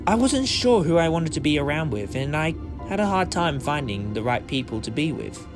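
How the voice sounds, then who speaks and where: Man playing an earnest, higher-voiced sci-fi hero. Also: big pitch swings, normal speed, music in the background. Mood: gentle, reassuring. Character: earnest, kindly but urgent alien traveller hero. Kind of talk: fan audio drama acting.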